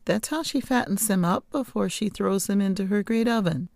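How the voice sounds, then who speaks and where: A woman's voice: medium pitch, normal speed, vocal fry, a little mellow, dry recording, studio quality, voice pushed up, lightly acted. A woman voicing a blunt, earnest nine-year-old girl in a storybook, with an artless way of talking. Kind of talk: storybook character reading.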